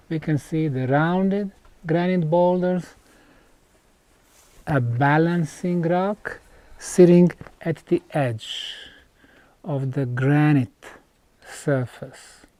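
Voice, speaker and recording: A man from Bosnia presenting as a researcher on location outdoors, explaining in deliberate, emphatic short phrases with pauses between them. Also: a little high, dark tone, no echo. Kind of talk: explanatory on-site presentation to camera. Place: outdoors at a rock site.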